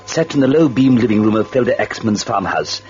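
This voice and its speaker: A man's voice: lowish voice, expressive, fairly quick, a little mellow, natural voice. A man playing a mocking, droll devilish storyteller in a radio horror drama, with chuckling sardonic asides. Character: gleefully sinister, mocking male devil storyteller. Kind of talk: theatrical radio narration. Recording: muffled old radio recording with music.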